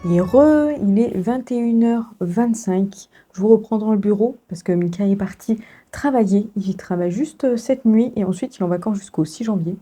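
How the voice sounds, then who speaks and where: A woman's voice: mid-range voice, normal speed, mellow, faint music behind. A young woman vlogging casually, congested with a sore throat, chatting with many fillers and laughs. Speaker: book vlogger. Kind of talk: casual vlog chat.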